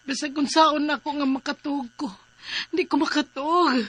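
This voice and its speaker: A woman's voice: sits high, fairly even intonation, normal speed, lightly acted. A woman acting a distressed, stubborn, plaintive wife in a radio drama. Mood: weary, despondent. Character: distressed, stubborn wife suffering a nervous breakdown.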